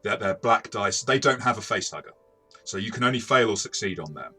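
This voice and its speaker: A man's voice: on the low side, expressive, fairly quick, sharp tone, breathy edge, soft background music. A man who reviews tabletop games and runs them himself, chatting casually with some self-correction and joking. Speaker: tabletop game reviewer and game master. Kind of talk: casual two-person review chat.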